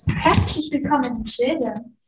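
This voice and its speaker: A woman's voice: high-pitched, a little slow, heavy background noise. Girl playing a chirpy globe-trotting virus. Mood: cheerful. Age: teens. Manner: broken German, chirpy singsong greetings. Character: cheerful foreign-sounding virus touring the world. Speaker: student performer. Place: large school classroom. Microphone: far camera. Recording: roomy, distant classroom recording.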